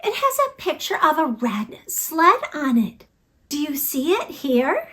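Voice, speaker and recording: A woman hosting a children's read-aloud, speaking expressively and deliberately in simple phrases for young listeners. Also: sits high, very animated, pushed and bright, dry recording.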